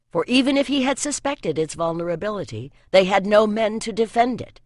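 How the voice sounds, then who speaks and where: A narrator reads an epic fantasy novel with solemn, expressive drama. The delivery is scripted storytelling, recorded close and dry in a studio. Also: mid-range voice, dry recording. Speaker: audiobook narrator.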